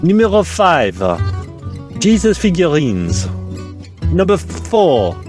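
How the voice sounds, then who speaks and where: An actor playing a condescending, suggestive French correspondent in a comedy podcast, speaking with a French accent. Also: male voice, high voice, huge pitch swings, over music, heavily acted. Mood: contemptuous. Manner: condescending, insulting, drawn-out innuendo. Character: haughty, sneering Frenchman, suggestive radio correspondent. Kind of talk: scripted comedy podcast segment.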